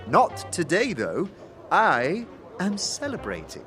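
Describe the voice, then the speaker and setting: Man as female clerk, audio drama, chatty, awkward, hesitant, rather high male pitch, animated. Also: slow pace, music in the background, full character voice. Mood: awkward, friendly. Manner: hesitant, giggly, polite.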